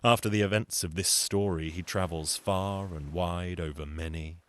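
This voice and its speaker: A man's voice: low voice, steady tone, moderate pace, a bit bright. A man telling a folktale as a storyteller, in a dramatic, theatrical narrating style.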